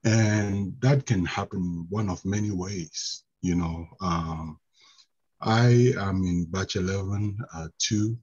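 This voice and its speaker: A man who is a student in an IT training program, sharing his thoughts on a webinar in a thoughtful way, with many filler pauses. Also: deep voice, darkish tone, breathy edge, lo-fi sound. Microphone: video call.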